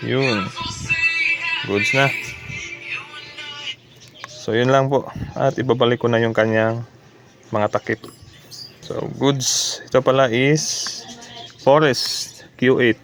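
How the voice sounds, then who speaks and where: A man's voice: mid-range voice, fairly even intonation, takes it slowly, long pauses, fairly bright tone, faint music behind. A man from the talks through a hands-on repair. He is informal and practical, explaining each step as he goes. English technical terms are mixed into his speech. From Philippines. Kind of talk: casual repair demo vlog.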